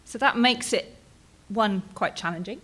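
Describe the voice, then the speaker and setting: A woman's voice: medium pitch, unhurried, fairly bright tone. A woman in her thirties with a British accent, presenting her research at a conference in a casual, chatty way with frequent ums and "right?" tags. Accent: British English. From England, Bristol.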